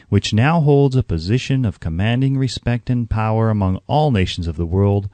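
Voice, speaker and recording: Man, audiobook narrator, low pitch, dry close recording, measured and earnest delivery. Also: a little mellow, no room sound.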